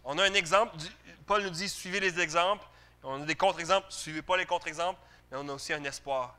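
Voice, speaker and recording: A man's voice: a little high, fast, bright, forceful tone. A man with a Quebec French accent preaching a sermon to a congregation in an emphatic but conversational way, often putting questions to his listeners.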